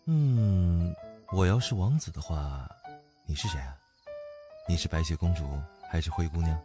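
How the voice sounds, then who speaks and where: 一名男演员在广播剧里饰演一个沉稳宠溺、爱逗人的年长男友，说话温柔从容。. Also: male voice, deep voice, lightly acted. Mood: amused, tender. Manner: tender, unhurried, gently teasing. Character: calm, doting, teasing older boyfriend. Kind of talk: Chinese audio drama acting. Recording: music under the voice. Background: background music.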